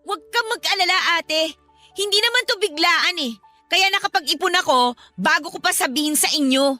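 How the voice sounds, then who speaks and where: A woman's voice: very high voice, moderate pace, pushed and bright, faint music behind. A woman playing a stubborn, wounded young student standing up to her older sister in a radio drama. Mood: defiant, hurt. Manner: defensive, exasperated. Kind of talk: Tagalog radio drama acting.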